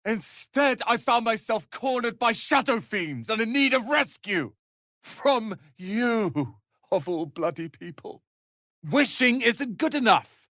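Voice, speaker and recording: A man voicing a proud, sharp-tongued young tiefling wizard's apprentice in a video game, with haughty, theatrical, biting delivery. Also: extremely high pitch, lively intonation, takes it slowly, no echo, studio quality, exaggerated voice. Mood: grudging, sincere. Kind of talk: dramatic video game voice acting.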